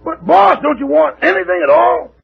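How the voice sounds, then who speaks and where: A man playing a cheerful, wry household servant with a raspy voice in a 1950s radio comedy. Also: extremely high pitch, moderate pace, soft background music, heavily acted. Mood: solicitous. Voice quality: very raspy, gravelly. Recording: dull, lightly noisy old radio recording.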